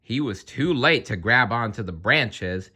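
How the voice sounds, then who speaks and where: A man narrating a children's story aloud in an expressive storytelling style. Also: medium pitch, a little slow. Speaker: story reader.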